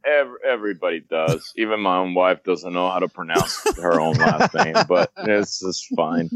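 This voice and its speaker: A man's voice: deep voice, moderate pace, fairly bright tone, no room sound. A man who grew up in South America, a podcast guest chatting casually and laughing often, rambling with restarts. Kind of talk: casual chat.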